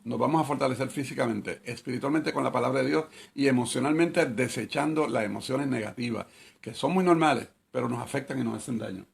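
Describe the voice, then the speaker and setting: A man's gravelly, slightly husky voice at a middling pitch, teaching a Bible study in a relaxed, conversational way. He repeats key phrases and asks his listeners rhetorical questions, like a preacher walking through a passage. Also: fairly quick.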